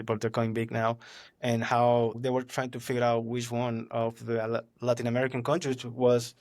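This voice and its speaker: A man in his thirties from Venezuela with a Venezuelan Spanish accent, chatting casually on a podcast, animated and laughing often. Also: medium pitch. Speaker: podcast host.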